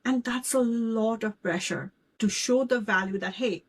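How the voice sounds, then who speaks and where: A woman's voice: on the high side, measured pace, slightly rough. Earnest woman co-host from Nepal. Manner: earnest, reflective, candid about worries. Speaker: podcast co-host. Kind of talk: casual conversational podcast.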